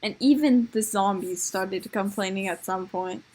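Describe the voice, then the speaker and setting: A woman improvising comic stories for a puppet show in a giggly, halting way. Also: mid-range voice, darkish tone, a little hiss or hum. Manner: giggly, halting, making it up as she goes.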